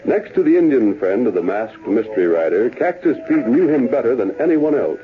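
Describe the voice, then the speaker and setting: An American actor as the stirring, solemn narrator of an old radio western, speaking in a stately, declamatory way. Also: male voice, mid-range voice, big pitch swings, moderate pace, natural voice. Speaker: announcer and narrator. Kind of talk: dramatic scripted narration, 1930s-40s radio. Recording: tinny old radio recording with music.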